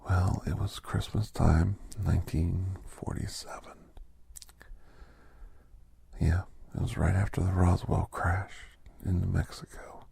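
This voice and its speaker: A man playing a genial older doctor with a secret past, telling folksy ASMR stories in an unhurried, breathy, gravelly half-whisper. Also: deep voice, little pitch movement, mellow, full character voice. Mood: confiding, reminiscing. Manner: unhurried, folksy, halting, conversational. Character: genial old doctor, a retired secret government scientist, reminiscing. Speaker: storytelling podcast host in character. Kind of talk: ASMR storytelling, soft-spoken roleplay.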